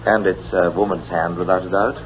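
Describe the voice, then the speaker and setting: An actor playing a quietly self-satisfied English country police inspector in a vintage radio drama, deferential and deliberate, with a British accent. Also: male voice, deep voice, steady tone, crowd noise, close to an everyday voice. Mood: pleased, deferential. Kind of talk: mid-century radio drama acting. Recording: dull vintage radio recording.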